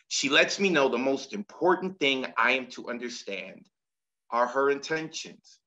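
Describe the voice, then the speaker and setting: A man performing spoken-word poetry over a video call, with a rhythmic, dramatic delivery that swings in pitch and volume. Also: pushed and bright, muffled recording. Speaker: performance poet.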